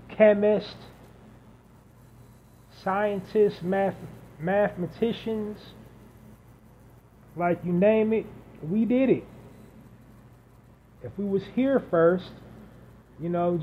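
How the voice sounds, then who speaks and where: Slow-talking man hosting a solo podcast. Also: high voice. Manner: unscripted, repetitive emphatic listing. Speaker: podcast host. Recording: dull lo-fi audio with faint hiss.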